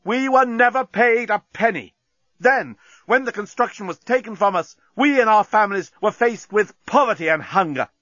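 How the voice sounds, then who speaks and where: A man voicing a proud, gallant, indignant alien commander in a loud, declamatory audiobook character voice. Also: high-pitched, lively intonation, moderate pace, no echo, lo-fi sound, voice pushed up, full character voice. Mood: indignant, defiant. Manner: loud, declamatory, impassioned speechifying.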